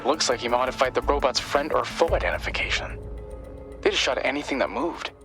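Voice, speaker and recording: Wry young hacker. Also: male voice, medium pitch, lively intonation, a little fast, pushed and bright. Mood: businesslike. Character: young adult male hacker ally, wry, sharp, probing. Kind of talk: dramatic game cutscene acting. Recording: music behind the voice.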